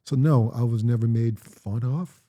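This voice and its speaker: Dark-voiced Jamaican man, reflective. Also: medium pitch. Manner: reflective, measured storytelling. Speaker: interviewee, parent. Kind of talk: casual conversation.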